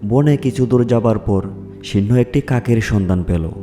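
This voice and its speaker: A man's voice: mid-range voice, moderate pace, warm tone, soft background music. A man narrating a scripted animal fairy tale as a storyteller.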